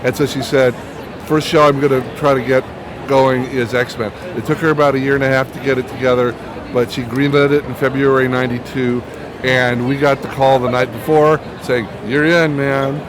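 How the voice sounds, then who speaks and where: A man's voice: mid-range voice, level tone, normal speed. A middle-aged or older man answering as an interview guest, telling casual anecdotes and often restarting his phrases. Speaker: interview guest, TV writer and producer. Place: busy comic convention. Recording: noisy outdoor-sounding convention recording with traffic-like din.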